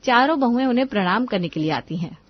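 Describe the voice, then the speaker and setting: A woman's voice: a little high, lively intonation, quick pace, no room sound, muffled recording. A woman reading scripted narration for a cartoon moral story.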